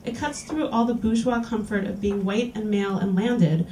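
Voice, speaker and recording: A woman's voice: medium pitch, fairly even intonation, warm tone, slight background noise. A woman hosting a reading series, reading an erotica piece aloud to a live audience in a small lounge. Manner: clear, deliberate reading-aloud delivery. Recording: roomy, distant live capture.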